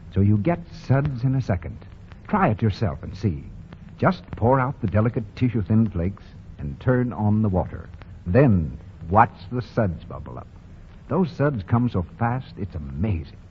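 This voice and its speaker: A male radio announcer delivering a polished, emphatic sponsor pitch in 1940s broadcast style. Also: sing-song, moderate pace. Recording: dull, noisy 1940 radio recording.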